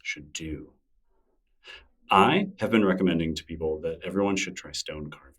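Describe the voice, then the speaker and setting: Low-voiced man, distant mic. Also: normal speed, a bit bright, slightly rough. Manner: rambling, filler-heavy, enthusiastic. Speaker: interview guest. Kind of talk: casual conversation. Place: public library room with a conference nearby.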